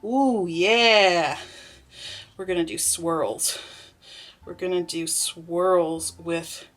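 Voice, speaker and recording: A woman's voice: on the low side, fairly even intonation, drawn-out words, fairly bright tone. A young woman making soap and chatting through it, with a stuffy, sniffly nose, laughing often and rambling with lots of fillers. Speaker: soap maker. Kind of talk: casual vlog narration while working.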